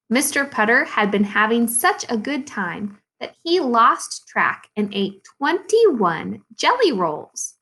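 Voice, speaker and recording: A woman teacher reading a storybook aloud to second graders in an expressive, deliberate way, with dramatic pauses. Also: a little high, rough recording. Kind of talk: storybook read-aloud for young children.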